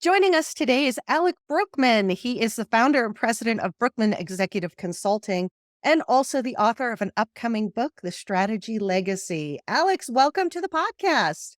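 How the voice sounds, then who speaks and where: A woman's voice: fairly high pitch, animated, normal speed, no room sound, clean studio sound. A woman hosting a podcast interview, upbeat and welcoming as she asks guiding questions. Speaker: podcast host. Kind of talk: conversational interview.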